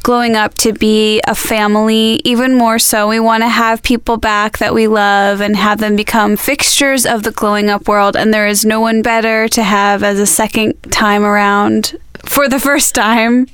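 A young woman co-hosting a podcast in casual chat, earnest and a little halting, often laughing along. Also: medium pitch, flat delivery, fairly bright tone, clear, resonant tone, no room sound, clean studio sound. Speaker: podcast co-host.

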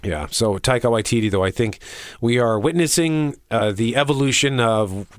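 A man's voice: medium pitch, expressive, moderate pace, no room sound. A man hosting a casual entertainment talk show, chatting about pop culture. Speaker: show host.

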